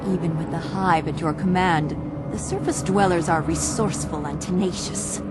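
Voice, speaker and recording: A woman playing a regal, scheming and seductive dark elf princess in a fantasy game, with formal, imperious diction. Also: fairly low pitch, normal speed, lightly acted. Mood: scheming, cool. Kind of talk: fantasy video game cutscene acting. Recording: loud music under the voice.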